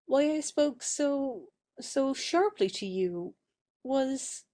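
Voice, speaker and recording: A woman's voice: high voice, expressive, extremely slow, dark tone, exaggerated voice. A woman playing a proud, impulsive young society woman with a theatrical, changeable manner in an audio stage play. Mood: contrite.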